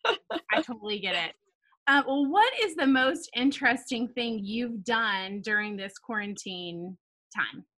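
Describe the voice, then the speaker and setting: A woman, hosting a ministry podcast in a casual chat, laughing often and filling pauses with "um" and "like.". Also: on the high side, lively intonation, a bit bright, poor audio. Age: 30s. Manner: bubbly, laughs often, fillers like "um" and "like". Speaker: podcast host, founder of a girls' ministry. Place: home, talking over a video call.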